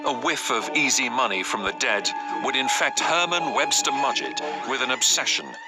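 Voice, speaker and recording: Prominent music runs under a male narrator with a mid-pitched voice. He delivers dramatic, scripted true-crime documentary narration. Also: normal speed.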